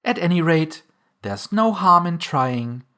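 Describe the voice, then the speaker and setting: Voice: mid-range voice, animated, slow, a bit bright, pitched above the performer's own voice. A narrator voicing a polite, eager little storybook girl who talks in an earnest, chatty, apologetic way. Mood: polite, curious.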